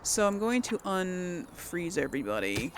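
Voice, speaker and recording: A woman's voice: lowish voice, sing-song, unhurried, slight background noise. A woman hosting casual video game commentary, thinking aloud as she plays. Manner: thinks aloud while playing. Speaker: gaming host.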